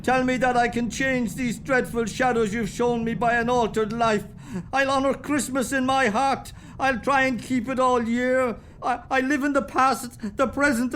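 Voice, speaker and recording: A man acting a frightened, repentant old miser in a radio play, halting and pleading. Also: extremely high pitch, little pitch movement, a bit bright, slight background noise. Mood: desperate pleading.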